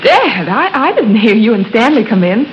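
A woman's voice: fairly high pitch, sing-song, normal speed. A woman playing a polite young daughter, a gracious and apologetic hostess, in a 1930s radio drama. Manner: genteel, apologetic, courteous. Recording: muffled 1930s radio, faint noise.

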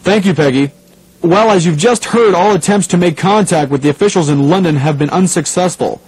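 A man's voice: high-pitched, a little fast, a little mellow, husky, no room sound, poor audio. A man playing a serious radio news anchor covering a crisis, composed and formal.

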